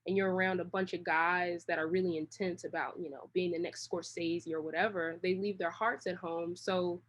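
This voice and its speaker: A woman's voice: on the low side, fairly even intonation, moderate pace. A woman talking casually as an interview guest, in a rambling, slangy, long-winded way. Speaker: interview guest, creative director.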